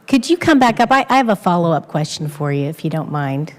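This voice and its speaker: A woman runs a council hearing, friendly and informal, sometimes tripping over her words. Her voice sits a little low. The long reverb of the chamber follows her. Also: very animated, quick pace. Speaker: council meeting chair. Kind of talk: chairing a public hearing.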